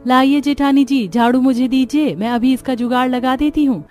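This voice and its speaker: A woman's voice: high-pitched, moderate pace, a little mellow, faint music behind, close to an everyday voice. A woman voicing a resourceful, cheerful young daughter-in-law in a cartoon story, eager and respectful. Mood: eager, helpful. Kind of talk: Hindi cartoon story dialogue.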